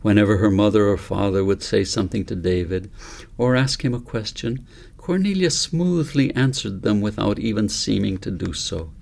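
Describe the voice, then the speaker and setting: A man narrating an audiobook story in a gentle, measured, deliberate way. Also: on the low side, animated, creaky. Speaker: author reading own book aloud.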